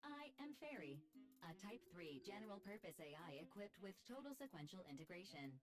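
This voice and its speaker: An actress voices a self-important female game AI. She speaks formally and precisely at a middle pitch with lively intonation, over clear music. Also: a little fast, close to an everyday voice. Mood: formal, proud. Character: proud, formal female AI assistant. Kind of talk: video game voice acting.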